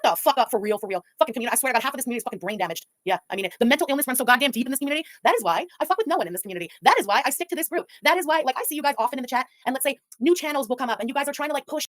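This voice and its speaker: A woman livestreamer rattles off loud, profane chat at a breakneck pace. Her high voice swoops up and down. The sound is dry and close. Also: animated, rapid-fire, hardly any pauses, no room sound.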